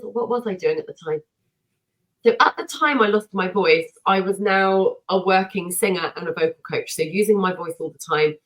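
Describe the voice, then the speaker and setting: A woman singer and vocal coach, a guest on a podcast, telling her story in an energetic, self-deprecating way. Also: fairly low pitch, poor audio. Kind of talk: casual podcast conversation.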